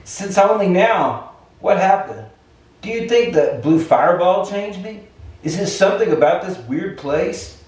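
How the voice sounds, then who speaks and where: A man's voice: sits high, lively intonation, measured pace, darkish tone, pitched above the performer's own voice. A man voicing a bewildered, curious, lost earthling in a story game, full of puzzled questions. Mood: puzzled wonder. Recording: very echoey room, far from mic.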